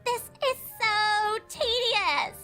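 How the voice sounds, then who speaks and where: A woman's voice: very high-pitched, extremely slow, bright, forceful tone, faint music behind, pitched above the performer's own voice, heavily acted. A woman voicing a spoiled, petulant young pony filly with a sarcastic manner in a cartoon fan fiction reading. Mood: sulky.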